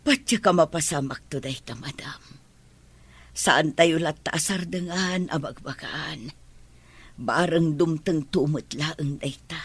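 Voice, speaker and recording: Humble housemaid in a radio drama, breathy n soft-mannered, always respectful. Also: female voice, low-pitched, takes it slowly, heavily acted. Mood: gentle, resigned. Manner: deferential, gentle. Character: humble, patient, dutiful female household maid. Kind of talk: Ilocano radio drama acting.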